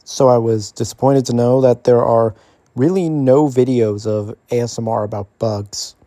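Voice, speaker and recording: A man's voice: mid-range voice, steady tone, moderate pace, dark tone, dry recording, clean studio sound. A man hosting an informal educational ASMR explainer, talking in a hesitant, rambling way. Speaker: host of an educational bug ASMR series.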